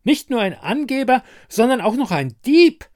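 Storybook ice queen voiced by a man: high, loud, imperious, full of contempt and scolding. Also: very animated, normal speed. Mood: shrill anger. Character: haughty, scornful icy queen of winter.

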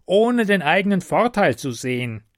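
A man doing a high, snappish grumpy goblin. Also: very animated, normal speed, dry recording, clean studio sound. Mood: grumbling. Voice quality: snappish, scornful. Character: grumpy small green male house goblin.

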